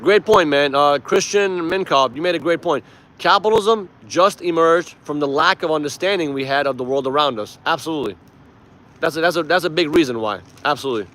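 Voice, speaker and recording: A man's voice: on the high side, a little fast, fairly bright tone. A man from Iran, a native Persian speaker, hosting a casual livestream and giving emphatic, informal political commentary with some swearing. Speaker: live stream host.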